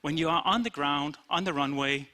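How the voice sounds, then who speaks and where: Bright-voiced Danish man giving a speech. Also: on the high side, moderate pace. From Denmark. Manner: plain-spoken, steady, with frequent "uh" and "basically". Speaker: company CEO giving an awards talk. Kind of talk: short conference speech. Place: event room.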